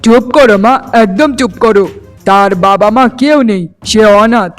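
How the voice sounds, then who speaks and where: A man's voice: high-pitched, normal speed, soft background music, lightly acted. A man voicing an earnest young husband in a cartoon melodrama, heartfelt, dramatic and insistent. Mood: defiant. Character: earnest, emotional young husband devoted to his bride.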